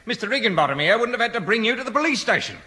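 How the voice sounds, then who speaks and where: An actor playing an officious but patient police sergeant in an old radio sitcom, speaking formally. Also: male voice, very high voice, big pitch swings, rapid-fire, sharp tone, exaggerated voice. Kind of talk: 1970 radio sitcom acting. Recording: reverberant old radio broadcast.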